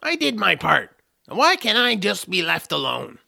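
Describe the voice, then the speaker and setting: A man's voice: sits high, wildly swooping pitch, unhurried, vocal fry, pushed and bright, no room sound, clean studio sound, heavily acted. A man voicing a grumpy, reclusive troll with an exasperated, grumbling manner in a family audio drama. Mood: weary, complaining. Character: grumpy, reclusive male troll, food-obsessed reluctant hero.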